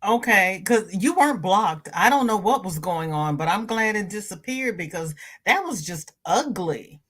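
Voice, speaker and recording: Woman, stuffy nose, livestream host, casual chat, mid pitch, greets people by name with bright jumps. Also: lively intonation, normal speed, slightly rough. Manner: chatty, greets viewers by name with high bright lifts. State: stuffy-nosed. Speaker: crochet channel host. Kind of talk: casual livestream chat, reading viewer comments.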